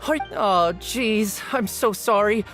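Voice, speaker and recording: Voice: mid-range voice, takes it slowly, fairly bright tone, soft background music. An actor playing a young newspaper seller in a video game, eager to please, hesitant, polite and rambling. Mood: flustered, apologetic.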